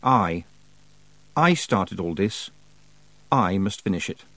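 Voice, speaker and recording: A young teenage witch, earnest and worried but determined read by a man. The delivery is lightly raised and expressive, in a dry, close storybook recording. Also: deep voice, slow pace, no room sound, exaggerated voice. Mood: anxious. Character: thirteen-year-old girl witch, anxious but resolute.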